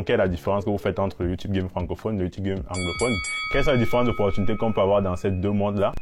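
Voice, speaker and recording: A man's voice: on the low side, darkish tone. A man hosting a casual discussion, reflective and asking probing questions. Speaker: host.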